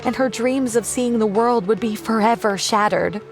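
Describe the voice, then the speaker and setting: Actress doing upset worried mom in a video game, bit of music behind. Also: female voice, fairly high pitch, normal speed, natural voice. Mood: sorrowful, worried. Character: distressed mother worried for her sick child.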